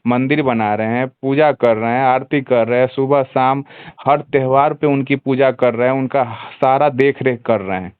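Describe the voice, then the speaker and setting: A man's voice: medium pitch, moderate pace, clean tone, no echo. A man debating heatedly as host on a phone call, insistently piling up rhetorical questions. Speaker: host taking phone calls.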